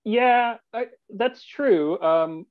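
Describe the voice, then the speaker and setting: A man's voice: sits high, very slow. Male sports guest on dull call audio. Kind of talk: casual sports discussion. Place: indoor room with bookshelves, video call.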